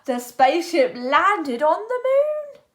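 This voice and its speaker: High, very animated woman storyteller. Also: takes it slowly. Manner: expressive, dramatic delivery with acted sound words. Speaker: children's storyteller. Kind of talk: animated read-aloud of a picture book.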